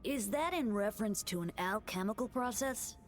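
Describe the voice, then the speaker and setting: Woman as a measured, impartial magistrate, faint music. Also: mid-range voice, natural voice. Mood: thoughtful. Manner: thoughtful, formal, even-handed. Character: measured, impartial female magistrate weighing evidence. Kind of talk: video game voice acting. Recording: game audio with faint music behind.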